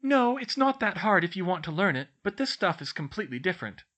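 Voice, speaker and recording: Man voicing a high-pitched, brisk, clever schoolgirl. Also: big pitch swings, a bit bright, dull audio. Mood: brisk, explanatory. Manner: brisk, explanatory, matter-of-fact. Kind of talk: audiobook character voice.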